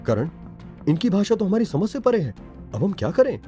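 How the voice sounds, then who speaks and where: A loyal, watchful adventurer's companion from a cartoon played by a man. His soft-edged voice sits high and leaps about in lively swings, earnest and animated. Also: a little fast, soft background music. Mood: alarmed.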